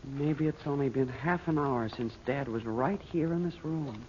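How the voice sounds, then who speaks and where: A male actor playing an eager, anxious boy in a 1940s radio adventure, earnest and excitable. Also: medium pitch, measured pace, natural voice. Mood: distraught, guilty. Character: eager, anxious boy searching for his lost father. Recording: muffled old radio recording, faint noise.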